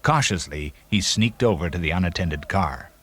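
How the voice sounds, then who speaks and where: A man's voice: deep voice, expressive, normal speed, husky. A man giving dramatic scripted narration for a children's read-along story. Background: loud music and sound effects.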